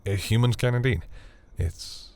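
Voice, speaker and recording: A man playing a tender, bashful centuries-old vampire in an intimate audio roleplay, speaking formally and hesitantly in a deep, husky voice. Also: a little mellow. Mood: shy, hopeful. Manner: hesitant, halting, earnest, formal wording.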